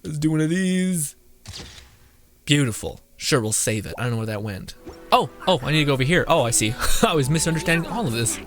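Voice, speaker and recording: A woman with an unusually low voice streams a video game. She comments casually and mutters her reactions as she goes. Faint music plays in the background. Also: animated, fairly quick. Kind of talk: casual live gameplay commentary.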